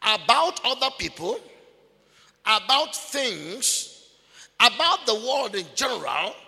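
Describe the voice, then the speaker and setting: A man preaching a sermon, emphatic and repetitive, at times breaking into shouts. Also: very high voice, creaky. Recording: long reverb of a large hall.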